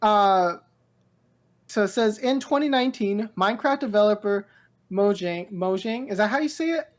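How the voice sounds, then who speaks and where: A woman co-hosting a casual pop-culture news chat, speaking in an informal, halting, slightly bewildered way with slang. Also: mid-range voice, animated. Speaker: podcast co-host.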